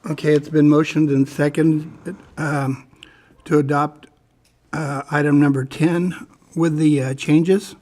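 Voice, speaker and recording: A man with a fairly high voice chairs a council meeting as mayor. He moves items along briskly, calling for motions and roll calls. He is speaking in a council chamber. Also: level tone, a little mellow. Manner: brisk, procedural.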